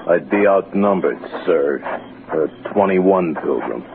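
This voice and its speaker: Dour servant, deep formal voice, dryly resigned, noisy vintage radio drama recording. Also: male voice, slow pace, gravelly, phone-quality sound, exaggerated voice. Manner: polite, deadpan. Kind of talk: 1940s radio drama acting.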